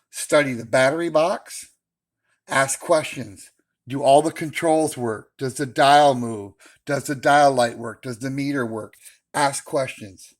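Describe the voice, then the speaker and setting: A man talking casually and instructively as a hobbyist host, opinionated and emphatic, repeating his key points. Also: mid-range voice. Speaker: radio hobbyist host giving buying advice.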